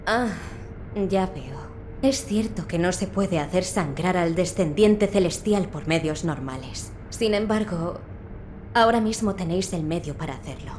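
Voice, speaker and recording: Low-voiced, composed physician. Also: female voice, slight background noise. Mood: calm. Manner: calm, formal, measured. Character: composed, learned female physician of a noble household. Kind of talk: dubbed video game cutscene acting.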